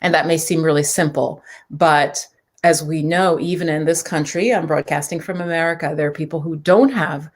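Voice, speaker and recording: A woman's voice: low-pitched, steady tone, moderate pace. A woman from New York hosting a casual live stream, reading viewers' comments aloud and chatting loosely with fillers and asides. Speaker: livestream host.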